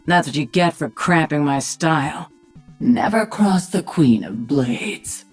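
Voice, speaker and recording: Game lead: battle-hardened alien queen, low husky register, dry sarcasm, absolute command, music bed. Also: expressive, takes it slowly, a little mellow, heavily acted. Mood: gloating, taunting. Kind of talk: video game character acting.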